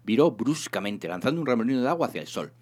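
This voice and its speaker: A man reading a humorous science fiction novel aloud in an expressive, theatrical narration. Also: medium pitch, quick pace. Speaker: reader of a serialized humorous sci-fi novel.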